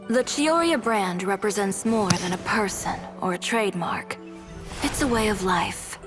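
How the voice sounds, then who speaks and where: An actress playing a composed, sharp-tongued fashion designer in a video game, cool, cutting and self-assured. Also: female voice, mid-range voice, a little slow, over music, close to an everyday voice. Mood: resolute.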